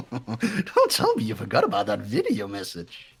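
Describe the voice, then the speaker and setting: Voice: mid-range voice, wildly swooping pitch, takes it slowly, creaky, slightly rough, faint music behind, full character voice. A performer voicing a sadistic, mocking male bear mastermind in a fan dub of a video game, taunting and giggling in a sing-song way.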